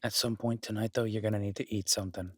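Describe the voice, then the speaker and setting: A man playing a gruff, skeptical but caring cabin dweller in an intimate audio roleplay, blunt and sweary yet grudgingly reassuring. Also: fairly low pitch, flat delivery, fast talker, a bit bright, no echo. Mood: apologetic, reassuring. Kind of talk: immersive audio roleplay, intimate naturalistic acting.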